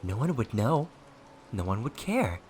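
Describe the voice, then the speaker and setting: A man's voice: low voice, big pitch swings, measured pace, a little hiss or hum. A man voicing a gleefully unhinged, cocky male ghost who mocks and taunts in an audiobook story. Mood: childish mockery. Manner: cocky, mocking, manic, shouting and swooping.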